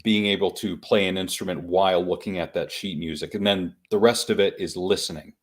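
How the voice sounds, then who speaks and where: A man co-hosting a casual live-streamed podcast, talking in a measured, explanatory way with frequent hesitations. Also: low voice, steady tone, slightly rough. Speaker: podcast co-host.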